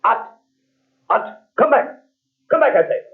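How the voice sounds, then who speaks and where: An actor playing a menacing, gloating villain in an old radio horror drama, theatrical and commanding. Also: male voice, very high voice, big pitch swings, a little slow, gravelly, narrow, tinny audio, heavily acted. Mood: desperate, furious. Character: menacing, gloating male villain who refuses defeat.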